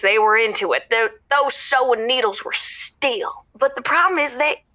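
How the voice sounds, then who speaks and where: A woman playing a middle-aged housewife calling a radio show in an audio drama, rambling, earnest and excitable. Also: high-pitched, no echo. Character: chatty middle-aged Southern housewife caller, proud and giddy.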